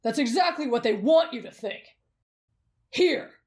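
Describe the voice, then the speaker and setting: A woman voicing a brash, bossy young male warrior in an anime fan roleplay, blunt and gruff in manner. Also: high voice, lively intonation, a little slow, a little mellow. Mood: brash, stubborn.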